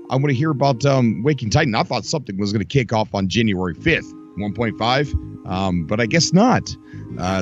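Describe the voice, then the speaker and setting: A chatty man hosts a live gaming stream, rambling warmly to viewers by name. His voice is still recovering and catches now and then. The lo-fi desk-mic audio sits over faint game music. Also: mid-range voice. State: voice recovering from loss, skipping a beat. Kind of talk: casual live-stream chat.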